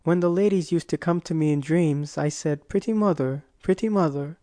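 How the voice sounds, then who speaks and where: A woman's voice: deep voice, moderate pace, warm tone, no room sound, lightly acted. A woman voicing a solemn, earnest young boy character in a storybook reading. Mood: sorrowful, reflective. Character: young lost boy, proud then sorrowful and afraid.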